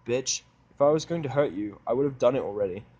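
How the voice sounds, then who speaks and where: A man plays a sullen human worker in a fantasy audio drama. His voice is mid-pitched, with a curt, irritable, sarcastic delivery. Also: animated, quick pace, warm tone, dull audio, close to an everyday voice. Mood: resentful. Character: surly, sarcastic human man working among ponies. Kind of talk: fan fiction audio drama acting.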